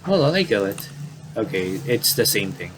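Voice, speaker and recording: A man's voice: on the low side, big pitch swings, moderate pace, noisy background. A man commentating on a game playthrough, casually reading story text aloud and often stumbling and rereading phrases.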